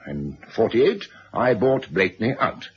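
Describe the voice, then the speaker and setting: A man's voice: on the low side, sing-song, measured pace. A middle-aged man playing a brusque, self-made press magnate and stern father in a radio drama, curt and pompous. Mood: self-satisfied. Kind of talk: 1970s radio drama acting. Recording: dull, noisy vintage radio recording.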